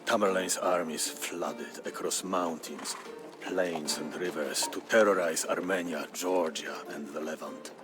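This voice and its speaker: A man narrating a historical tale as scripted storytelling, in a grave, measured, theatrical manner. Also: sits low, steady tone. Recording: music behind the voice. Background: music.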